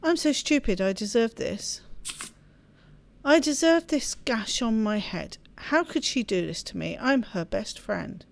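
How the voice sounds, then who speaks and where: A woman's voice: a little high, expressive, a little slow, gravelly. A woman voicing a hurt, self-blaming rebellious eighteen-year-old girl from a story game in bitter, dramatic self-talk. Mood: self-reproach.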